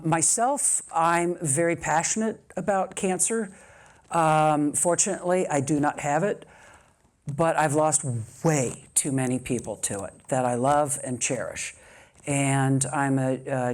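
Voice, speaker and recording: A woman's voice: sits low, a little mellow. A woman in her sixties giving a public speech and reading a friend's letter aloud, with chatty asides between careful reading.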